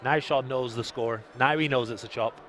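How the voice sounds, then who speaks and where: A man's voice: medium pitch, fairly bright tone. Jokey man calling a poker stream. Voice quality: soothing radio voice. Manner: jokey, quick one-liners. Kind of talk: live poker commentary and chat reading. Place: commentary booth at a casino.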